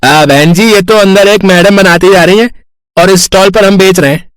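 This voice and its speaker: A man acting a plainspoken adult male character in an animated story, with expressive delivery. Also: high voice, moderate pace, smooth, no room sound, natural voice. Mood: polite.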